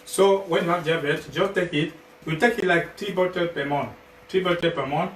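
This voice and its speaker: A man from Cameroon with a Cameroonian accent presents products informally, in a halting way that repeats and rephrases as he goes. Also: a little high. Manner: halting, repetitive, rephrasing phrases several times. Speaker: health product sales partner, guest presenter. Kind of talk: informal livestream product pitch. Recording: echoey, noisy, distant livestream mic.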